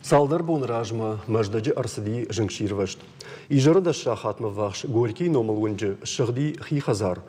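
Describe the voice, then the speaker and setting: A male co-host with a soft, dark timbre and a husky edge presents news conversationally. His voice carries a long reverb, as in a hall. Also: mid-range voice, lively intonation, moderate pace. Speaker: TV talk show co-host.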